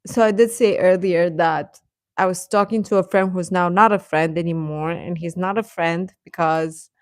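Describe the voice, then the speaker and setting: A woman's voice: on the low side, steady tone, normal speed, no echo, studio quality. A woman hosting a livestream, chatting casually and reacting to viewers, often repeating her phrases. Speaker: livestream host.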